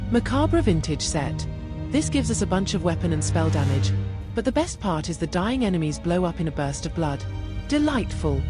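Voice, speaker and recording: A woman narrating a scripted gaming build guide in a measured, explanatory way. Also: lowish voice. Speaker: gaming video creator and narrator. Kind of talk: game build guide voice-over. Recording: music behind the voice. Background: music.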